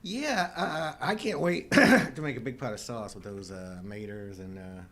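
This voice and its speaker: A man's voice: medium pitch, unhurried, crowd noise. A middle-aged man chatting casually as co-host of a live show. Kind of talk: casual chat.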